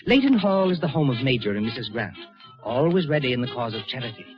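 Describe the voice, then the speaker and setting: Male actor as suave, ominous radio mystery host, measured and formal, muffled old recording with music behind. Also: mid-range voice, sing-song, natural voice. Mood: descriptive, measured. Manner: measured, formal, dramatic delivery. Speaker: narrator and program host. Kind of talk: 1940s radio suspense narration.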